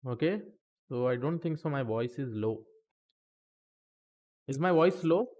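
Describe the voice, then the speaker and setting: A man's voice: mid-range voice, lively intonation, unhurried, darkish tone. Chatty man teaching a live lesson. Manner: chatty, repeats okay, talks to the chat between points. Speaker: instructor teaching statistics.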